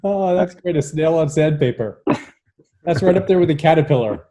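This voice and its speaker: Mellow, higher-pitched man chatting. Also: animated, normal speed. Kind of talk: casual chat.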